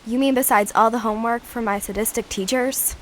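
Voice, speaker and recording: A woman's voice: a little high, fairly even intonation, a little fast, slight background noise, natural voice. A young female playing a sporty, sarcastic schoolgirl in an amateur radio drama. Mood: sassy. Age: child or teenager. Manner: sassy, sarcastic.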